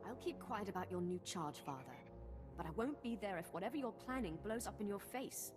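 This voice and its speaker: Actress as animated, resentful young daughter. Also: female voice, medium pitch, brisk, music in the background, close to an everyday voice. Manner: indignant, sulky. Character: young female Sith student, resentful, defiant daughter. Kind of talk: video game voice acting.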